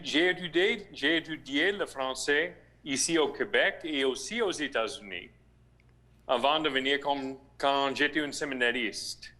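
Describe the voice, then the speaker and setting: A priest with an American accent and English as his first language, answering interview questions slowly and haltingly, with restarts. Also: medium pitch, sharp tone. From United States (Missouri). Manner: slow, halting, with restarts and searched-for words. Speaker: rector of a shrine, priest.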